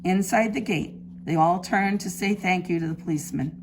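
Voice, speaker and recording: A woman reading a children's storybook aloud in an expressive, emphatic preschool-teacher style. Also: lowish voice, fairly quick, noisy background.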